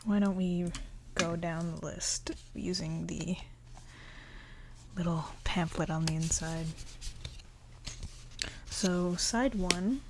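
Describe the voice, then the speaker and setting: Slow, soft-spoken woman doing ASMR. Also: fairly low pitch, background noise. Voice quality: soft, often whispery voice. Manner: relaxed, unhurried, chatty. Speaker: ASMR video creator showing a collection. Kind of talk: ASMR, slow casual show-and-tell.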